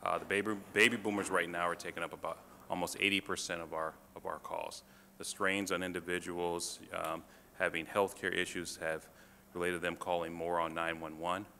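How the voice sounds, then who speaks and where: Low gravelly man in a reverberant chamber. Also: little pitch movement, sharp tone. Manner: halting, many fillers and restarts. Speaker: fire department official presenting to council. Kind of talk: council meeting presentation. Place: council chamber. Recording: reverberant chamber, faint room noise.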